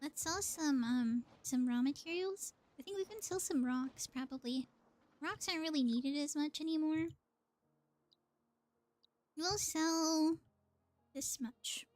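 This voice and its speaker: A woman's voice: high-pitched, takes it slowly, long pauses, a little mellow, no room sound. A woman live-streaming a video game, chatting casually and thinking aloud as she plays.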